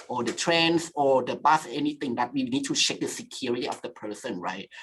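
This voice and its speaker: A woman's voice: very deep voice, slight background noise, low-quality recording. A woman from Thailand speaking on a webinar panel over a video call, searching for words and filling with "you know" and "like".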